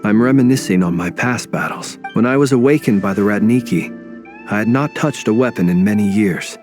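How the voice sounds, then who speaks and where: An actor playing an ancient, dignified fae warrior in a video game, thoughtful and courteous, with formal phrasing. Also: male voice, on the low side, brisk, natural voice. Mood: contemplative. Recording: music underneath.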